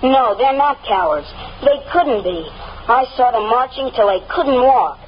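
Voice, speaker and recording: A man's voice: extremely high pitch, lively intonation, unhurried. A male performer playing an earnest, defiant young boy in a 1950s radio drama, insistent and emotional. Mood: pleading. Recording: muffled old radio recording, faint noise.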